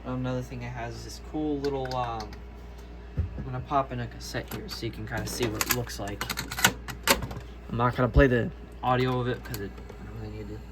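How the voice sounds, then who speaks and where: A man with an average-pitched voice talks through a casual show-and-tell for his viewers. He rambles, stops and restarts, often correcting himself mid-sentence. The recording is plainly noisy, with the ambient sound of an indoor room. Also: level tone. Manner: rambling, halting, self-correcting. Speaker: hobbyist video maker. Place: indoors, room with audio gear.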